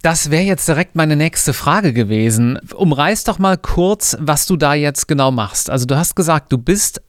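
A man's voice: fairly high pitch, animated, moderate pace, no echo, clean studio sound. A man hosting a podcast interview in a conversational style. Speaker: podcast host.

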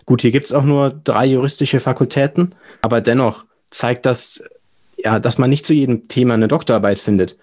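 A man's voice: mid-range voice, steady tone, normal speed, darkish tone. A young adult man with a German accent, talking casually and explaining things as a podcast guest. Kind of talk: casual explanatory interview talk.

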